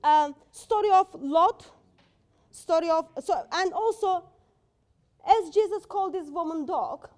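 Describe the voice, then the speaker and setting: A woman's voice: extremely high pitch, a little slow, a little mellow. A woman in her thirties, not a native English speaker, firmly rebutting an opponent in a live debate, speaking in a plain indoor room. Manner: assertive, challenging, non-native phrasing.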